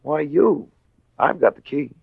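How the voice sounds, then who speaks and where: A man's voice: a little high, lively intonation, measured pace, vocal fry, breathy edge, no room sound, narrow, tinny audio, heavily acted. A man playing a cool, wry American adventurer-investigator in 1940s radio drama style, speaking in a clipped, dry, hardboiled way. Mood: urgent, wry. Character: cool, wry American male adventurer-investigator, narrating his own case. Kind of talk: 1940s radio drama acting with first-person narration.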